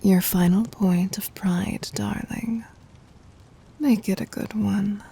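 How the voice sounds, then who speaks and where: A woman playing a tender, possessive demon queen in an intimate ASMR roleplay, speaking in a soft breathy whisper with regal, formal endearments. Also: mid-range voice, steady tone, slow pace, full character voice. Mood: tender, seductive.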